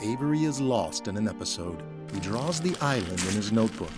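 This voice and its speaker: A man's voice: lowish voice, lively intonation, brisk, loud music behind the voice. A man narrating an audio drama in a scripted, dramatic present-tense style.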